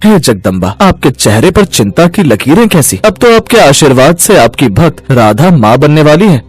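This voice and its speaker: Man as reverent, lively-voiced divine sage. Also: a little high, moderate pace, husky. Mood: concerned. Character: reverent, concerned divine sage questioning the goddess. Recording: music behind the voice.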